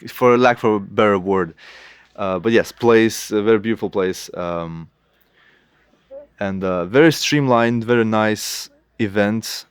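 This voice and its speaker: A young man with a Lithuanian accent, a native Lithuanian speaker, answering interview questions in halting English and often restarting as he searches for words. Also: fairly low pitch, clean tone, dry recording. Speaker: guest artist.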